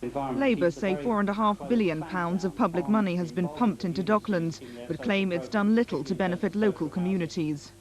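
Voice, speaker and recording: A woman's voice: on the low side, a little fast, a crowd in the background. A woman narrating a scripted television news report.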